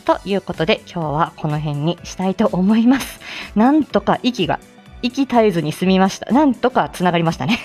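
Female livestream host, very animated intonation, chatty and candid, laughs easily, music playing behind her. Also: on the low side, fairly quick. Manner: laughs often while talking. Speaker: host of a live audio show, speech therapist. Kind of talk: casual chatty solo livestream talk.

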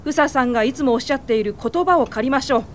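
An actress playing a dignified, encouraging women's suffrage leader in a Japanese radio drama, with formal, assured delivery. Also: female voice, high-pitched, racing, a little hiss or hum, natural voice.

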